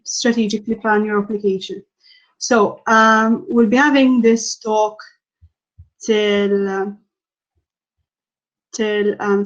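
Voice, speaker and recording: A woman moderating an online session, introducing the speaker politely with some hesitation. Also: mid-range voice. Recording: dull lo-fi audio.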